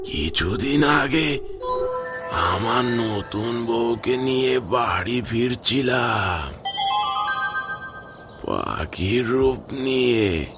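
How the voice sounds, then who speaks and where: Male actor as age-old forest spirit, vengeful, extremely drawn-out menacing delivery, cartoon folktale, heavy music. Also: mid-range voice, animated. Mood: brooding, sinister. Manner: menacing, slow deliberate delivery with drawn-out cackles. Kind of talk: dramatic animated horror folktale acting. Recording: loud music behind the voice.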